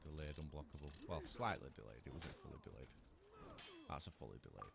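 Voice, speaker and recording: A man's voice: a bass voice, expressive, fast, creaky, background noise. A man giving casual, laid-back gameplay commentary in muttered asides, as a streamer. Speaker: video game streamer.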